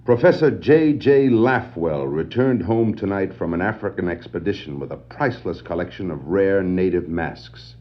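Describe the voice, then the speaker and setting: An actor playing an earnest, commanding superhero detective with stagey, declarative delivery on a 1960s children's adventure record. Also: male voice, low voice. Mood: alert. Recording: dull 1960s vinyl record dramatization.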